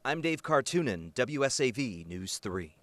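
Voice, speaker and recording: An American man reporting investigative news in a scripted, emphatic and measured broadcast delivery. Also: mid-range voice, very animated, fairly bright tone.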